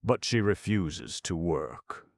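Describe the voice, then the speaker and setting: A man's voice: deep voice, big pitch swings, slow, no echo, pitched above the performer's own voice, heavily acted. A man voicing a cheerful, bossy ragman who chuckles and speaks pompously. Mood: gleeful. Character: cheerful, gleeful, bossy male chief scrapper.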